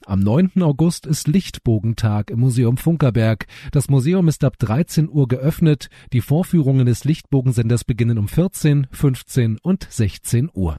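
Male news presenter, scripted read, very mellow dark tone with a husky grain. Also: mid-range voice, no echo, clean studio sound.

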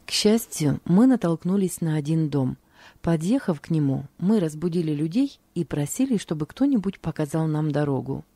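A woman calmly reading aloud from a religious diary. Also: low voice, normal speed, no echo. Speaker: narrator.

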